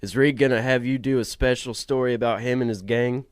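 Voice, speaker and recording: A man playing a well-meaning, slightly slow newspaper bodyguard in an old-time radio drama, folksy and informal. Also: medium pitch, steady tone, clear, resonant tone, no room sound, studio quality, close to an everyday voice. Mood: chatty.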